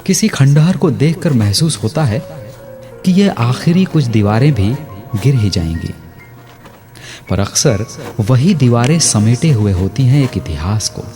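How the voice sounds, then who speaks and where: An actor playing an aging, remorseful scholarly father in a reflective radio drama, speaking pensively with halting, dramatic pauses. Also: mid-range voice. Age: older. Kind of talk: Hindi radio drama monologue, literary and reflective.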